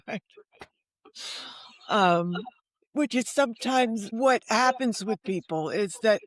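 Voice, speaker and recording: A woman's voice: medium pitch, no echo. An older woman from the United States telling personal stories in conversation, candid and self-deprecating, with rhetorical asides. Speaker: novelist, interview guest. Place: remote video call.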